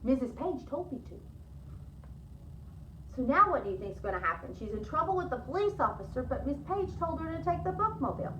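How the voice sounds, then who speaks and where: Animated high-voiced woman reading a storybook. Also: fast, few pauses, distant mic, a little hiss or hum. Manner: chatty asides to young listeners. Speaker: library storyteller reading aloud. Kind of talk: animated children's storybook read-aloud. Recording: echoey room, slightly dull sound.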